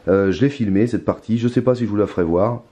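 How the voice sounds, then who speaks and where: Hoarse guy muttering through a card game, low mellow voice, echoey room. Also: fast. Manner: hesitant, mumbling, many restarts, muttering to himself. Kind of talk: casual solo gameplay commentary. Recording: roomy echo, faint ambience.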